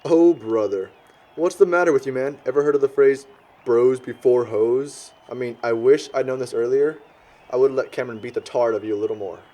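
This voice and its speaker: A man's voice: medium pitch, brisk, dark tone, natural voice. An actor playing a crude, judgmental young man in an amateur audio drama, blunt and scornful.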